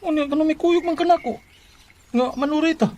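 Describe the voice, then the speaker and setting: An actor with a Cebuano Filipino accent playing an earnest, easily hurt, lovelorn worker in a Bisaya radio drama. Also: male voice, very high voice, brisk, a little mellow, slight background noise. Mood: hurt, indignant.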